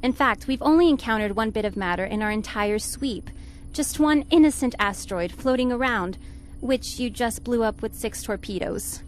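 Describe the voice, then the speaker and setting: A woman playing a quick-witted, sensible and exasperated spaceship flight attendant in a 1950s-style radio serial. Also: a little high, lively intonation, slight background noise, lightly acted. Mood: objecting.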